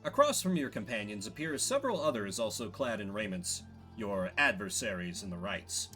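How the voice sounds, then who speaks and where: Animated male game streamer. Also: lowish voice, moderate pace. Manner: playful, expressive. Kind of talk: casual playthrough commentary with dramatic reading aloud of game text. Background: game music.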